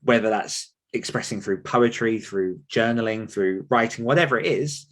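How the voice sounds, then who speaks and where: Low-pitched man in earnest conversation. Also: normal speed, fairly bright tone. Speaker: author coach and podcaster being interviewed. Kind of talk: earnest, conversational interview talk.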